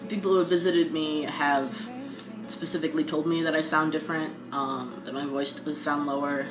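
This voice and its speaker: A young man talking casually in a video diary, pausing often and filling gaps with "um". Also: a little high, rough recording. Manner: halting, frequent ums. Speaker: vlogger. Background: guitar music.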